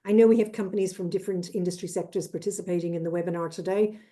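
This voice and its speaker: A woman in her fifties with an Irish accent, giving a brisk, businesslike webinar presentation as a trade consultant over a video call. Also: lowish voice, fairly even intonation, dark tone. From Ireland. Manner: brisk and businesslike, lists with "et cetera".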